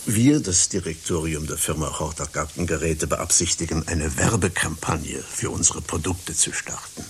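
An actor playing a formal, stiffly polite company director in a satirical radio play. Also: male voice, very deep voice, moderate pace. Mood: formal, courting. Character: formal, businesslike male company director courting a performer. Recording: hissy radio play recording.

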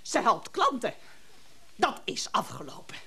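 A woman's voice: fairly high pitch, wildly swooping pitch, measured pace, creaky, sharp tone, husky, full character voice. An actress playing a jealous, embittered, imperious wife in a radio drama, scornful and commanding in her delivery. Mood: cold threatening scorn.

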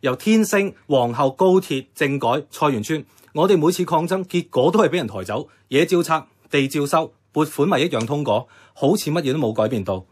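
A man's voice: a little high, expressive, fairly quick, hardly any pauses, husky. A man acting an idealistic, stubborn young activist with a Hong Kong Cantonese accent, earnest and persuasive. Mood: earnest, insistent. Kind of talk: radio drama acting.

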